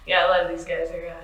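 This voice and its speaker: A woman's voice: fairly low pitch, fairly bright tone, distant mic, slight background noise. A woman who sings and writes lyrics for a band, speaking hesitantly with many fillers and giggles. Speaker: band singer and lyricist guest. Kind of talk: casual interview chat. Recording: roomy, off-mic.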